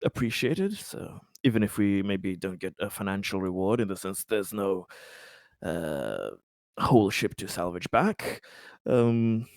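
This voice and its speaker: A man playing a tabletop roleplaying game, talking in character with hesitant, measured and rather formal wording. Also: low voice, expressive, no room sound.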